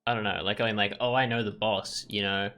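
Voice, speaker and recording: A man with a slightly low but bright, forward voice commentates casually over a game. He thinks out loud, often breaking off and starting again as he works through his thoughts. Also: steady tone, smooth. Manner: thinking aloud, halting. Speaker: gaming video creator. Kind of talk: casual let's play commentary.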